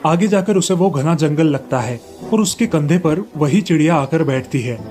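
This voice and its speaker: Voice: medium pitch, animated, moderate pace, a little mellow, soft background music. A narrator reading a scripted moral story in storybook style.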